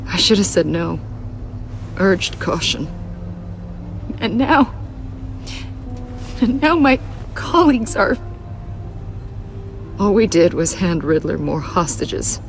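A woman's voice: medium pitch, expressive, slow pace, vocal fry, pauses often, slight background noise. An actress playing an earnest, guilt-ridden female agent in a video game, with a subdued manner. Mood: remorseful.

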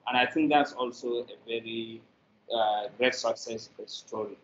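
A man's voice: mid-range voice, fairly even intonation, unhurried, fairly bright tone. A middle-aged man giving an answer as a guest in an interview on a video call. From Nigeria. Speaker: media producer, interview guest. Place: echoey indoor room, on a video call. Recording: roomy, dull, noisy video call.

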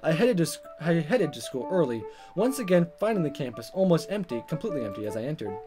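A man reading a visual novel aloud as a let's player, in expressive narration with casual asides and stumbles that he corrects. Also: on the high side, quick pace, over music. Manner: animated, stumbles over words and corrects himself.

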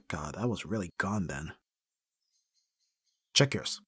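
Husky, low-voiced, flustered young man, acted. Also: lively intonation, takes it slowly, fairly bright tone, no echo, exaggerated voice. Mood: puzzled, piecing together. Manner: hesitant, sighing, stammering. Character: flustered, awkward young man, listener's best friend. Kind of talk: ASMR roleplay, one-sided intimate dialogue.

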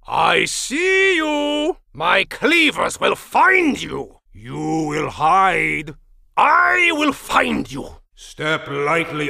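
A deranged cartoonish game villain, a chef bent on revenge. The voice sits very high for a male and leaps wildly in pitch. It is forceful and melodramatic, ranting in broken, clipped English with slow, drawn-out menace. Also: vocal fry, no room sound, studio quality, full character voice. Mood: menacing, hunting. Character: crazed, vengeful chef obsessed with exposing an octopus. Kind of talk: over-the-top comedic video game villain acting.

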